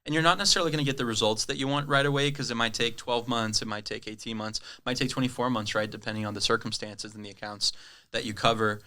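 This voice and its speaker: A man from Brazil whose first language is Portuguese, a sales rep telling his career story in a casual interview, chatty and quick to laugh. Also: mid-range voice, fairly even intonation, pushed and bright. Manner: chatty, lots of "right?" tags and laughs. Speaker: guest, cloud sales rep.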